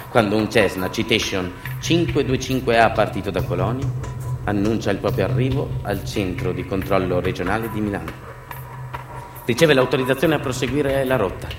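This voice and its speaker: A man performing a theatrical documentary monologue, moving between hushed, deliberate phrases with long pauses and emphatic delivery. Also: medium pitch. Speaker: stage actor and storyteller. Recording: echoey room with loud music.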